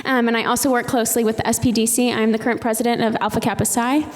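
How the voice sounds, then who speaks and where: A young woman in her twenties from North Carolina talks on a panel with an upbeat, confident manner. Her voice runs fairly high, and a long hall reverb trails after it. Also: level tone, rapid-fire. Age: 20s. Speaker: student panelist. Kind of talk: panel discussion.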